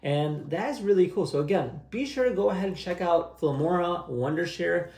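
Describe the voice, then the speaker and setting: Casting: young male tutorial host, informal and upbeat, slightly high voice, roomy sound. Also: lively intonation, normal speed, darkish tone. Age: young adult. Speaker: host of a software tutorial channel. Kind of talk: casual screen-share tutorial with review. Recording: roomy, echoey indoor sound.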